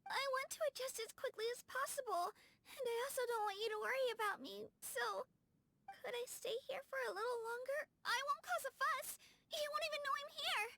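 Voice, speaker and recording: A woman's voice: extremely high pitch, fairly quick, creaky, sharp tone, a little hiss or hum, full character voice. A woman voicing a shy, anxious young girl in a video game, hesitant, earnest and polite, eager to please. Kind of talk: game character voicelines.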